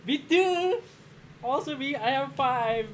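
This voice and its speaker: A young man playing a board game in a small room, excitable and boastful, laughing often, heard from a camera across the table. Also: extremely high pitch, slow, slight background noise. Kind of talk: casual game banter.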